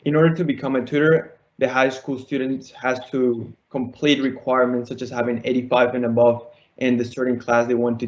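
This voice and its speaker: Even-toned young man pitching. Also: muffled recording. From Colombia. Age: teens, high school student. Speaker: student entrepreneur pitching. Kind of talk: prepared pitch presentation. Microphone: online call.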